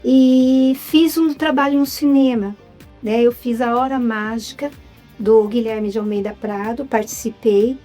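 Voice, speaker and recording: A middle-aged woman from Jundiaí with a São Paulo state accent, telling her story as a guest in a chatty, relaxed interview. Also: on the high side, measured pace, faint music behind. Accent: Brazilian Portuguese, São Paulo state. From Jundiaí, Brazil. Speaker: guest, writer and actress. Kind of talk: casual interview.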